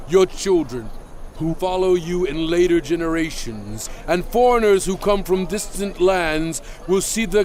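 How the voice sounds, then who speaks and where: A man playing a stern, fervent prophet leader who warns his people, declaiming with weighty pauses in a dramatized scripture reading. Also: a little high. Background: music bed.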